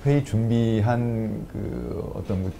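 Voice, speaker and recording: A man's voice: fairly low pitch, slow, creaky, warm tone, a little hiss or hum. A man, a government official, carefully recounting a meeting in an explanatory talk, with many hesitations and fillers.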